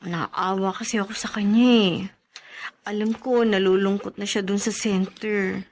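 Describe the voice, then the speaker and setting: An actress playing a soft-hearted, pleading young pregnant wife in a radio drama. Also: female voice, medium pitch, fairly even intonation, unhurried, lightly acted. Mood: pleading, sympathetic.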